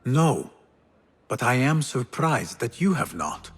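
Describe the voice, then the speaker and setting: An actor playing a guarded, courteous male infiltrator in a video game, speaking in a measured, discreet, husky voice. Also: mid-range voice, big pitch swings, in a large hall, faint music behind, full character voice. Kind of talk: dramatic video game acting.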